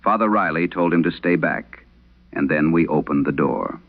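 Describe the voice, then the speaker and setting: A man playing an aging, haunted priest, telling a solemn horror radio monologue with measured, brooding, confessional delivery. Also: a bass voice, narrow, tinny audio. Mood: grave, haunted.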